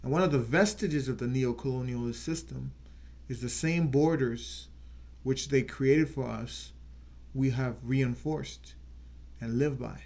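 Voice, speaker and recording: A man hosting his own channel, giving an earnest unscripted political talk and slowing down to stress key words. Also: medium pitch, creaky, a little mellow, dull audio. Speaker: channel host.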